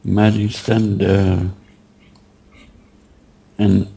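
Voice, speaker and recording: A man leading a guided meditation, giving halting, unhurried instructions and asking gentle questions. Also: fairly low pitch, level tone, vocal fry, slightly rough.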